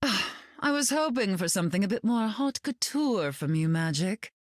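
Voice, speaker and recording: An actress playing a glamorous, snobbish socialite, affected and condescending, in a video game. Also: female voice, mid-range voice, lively intonation, moderate pace, no room sound, studio quality, close to an everyday voice. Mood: disdainful. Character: haughty, glamorous socialite mutant woman, fashion snob. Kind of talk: video game character acting.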